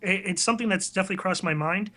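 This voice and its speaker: Man, bright forceful voice, slightly high pitch, casual storytelling, candid, self-deprecating, interview guest. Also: racing. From US tri-state area. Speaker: podcast guest, online video creator.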